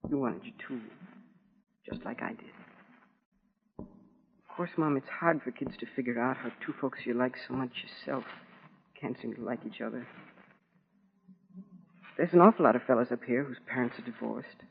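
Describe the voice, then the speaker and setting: A scratchy, narrow-band 1940s radio drama recording carries a man's performance of a military school boy. He is wistful and proud, speaking in plain, earnest, halting boyish talk. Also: mid-range voice, creaky, lightly acted. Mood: subdued, wistful. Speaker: actor in a dramatic monologue. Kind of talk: 1940s radio drama acting, letter read as monologue.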